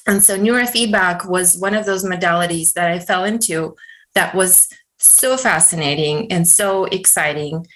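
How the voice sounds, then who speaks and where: Middle-aged russian-born woman talking about her work, earnest, lots of ums and pauses. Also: fairly low pitch, fairly bright tone, poor audio. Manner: earnest, measured, many ums. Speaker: neurofeedback practitioner, guest. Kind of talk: interview answers.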